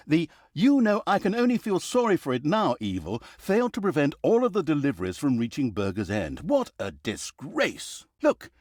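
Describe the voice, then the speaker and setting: Casting: wry, exasperated fantasy game storyteller, hugely animated intonation, mock-grandiose comic delivery, very dry studio sound. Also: male voice, a little high, no room sound. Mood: exasperated, scolding. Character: sarcastic, easily exasperated male fantasy storyteller mocking evil. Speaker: video game narrator. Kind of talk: theatrical comic storybook narration.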